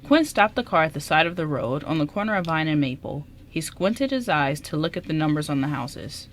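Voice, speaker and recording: A woman's voice: deep voice, expressive, a little fast, slight background noise. A woman reading scripted story narration as the narrator of a radio drama.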